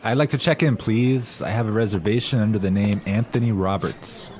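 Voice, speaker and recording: A man reading a hotel guest's lines in a scripted English lesson dialogue, speaking clearly and carefully. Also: lowish voice, a little fast, darkish tone, a little hiss or hum, natural voice. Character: male hotel guest checking in. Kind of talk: scripted role-play dialogue.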